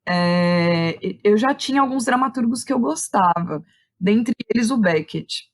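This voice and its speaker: A woman's voice: mid-range voice, animated, measured pace, dry recording. Casual São Paulo Brazilian woman, close dry sound. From Santo André, São Paulo, Brazil. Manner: informal, conversational, uses frequent tag questions. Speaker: guest, theatre director and playwright. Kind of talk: casual interview chat.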